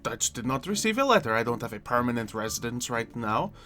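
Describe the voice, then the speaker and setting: A man's voice: medium pitch, big pitch swings, fast talker, pushed and bright, faint music behind. A man playing an eager, childlike prankster at a demigod camp in improvised Minecraft roleplay, chatting and rambling playfully.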